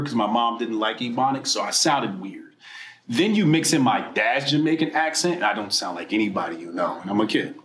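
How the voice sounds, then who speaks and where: A man from New Jersey tells personal stories to a room, animated and profane, his voice jumping widely in pitch. He drops to quiet asides, then bursts loud again. The sound bounces around an echoey event room with faint background ambience. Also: a little hiss or hum. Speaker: speaker at a political mixer. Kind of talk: personal storytelling speech.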